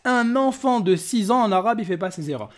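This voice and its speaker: A man's voice: sits high, animated, fairly quick. A man hosting a polemical commentary, talking in a mocking, combative and emphatic way. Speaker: YouTube host.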